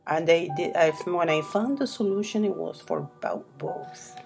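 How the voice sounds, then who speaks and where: A woman's voice: fairly low pitch, moderate pace, gravelly, music in the background. A woman from Morocco whose first language is Arabic, speaking English in a rambling, unscripted tutorial full of restarts and fillers. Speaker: self-publishing tutorial host.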